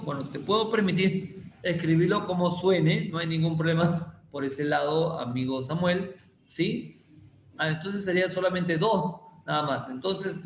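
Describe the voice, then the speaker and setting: A male chemistry teacher, giving a casual, playful class and teasing students as he slowly repeats quiz questions. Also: high voice, a little hiss or hum. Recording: roomy echo.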